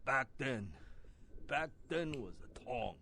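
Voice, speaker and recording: Game role: retired Chinese hitman, older, shady and boastful. Chinese-accented broken English, slow, very animated intonation, some background noise. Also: mid-range voice, vocal fry, full character voice. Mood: grumbling, scornful. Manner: broken English, refers to himself in third person. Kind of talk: video game character acting.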